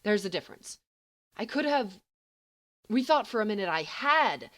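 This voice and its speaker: Woman as a tense, halting, animated teenage boy. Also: mid-range voice. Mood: irritated. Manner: halting, stammering. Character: tense teenage boy, earnest, flustered, irritable. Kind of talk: acted audiobook dialogue.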